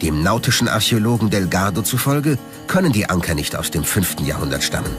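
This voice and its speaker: A man's voice: fairly low pitch, expressive, fast talker, music in the background. A man reading scripted documentary narration as the narrator.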